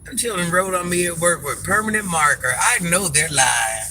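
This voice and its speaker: A man's voice: high-pitched, normal speed, soft background music. A man hosting a casual livestream from his car after work, chatting with viewers, greeting them by name and drifting off topic. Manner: calls himself granny. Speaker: livestream host. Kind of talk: casual live chat with viewers. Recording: steady car noise behind.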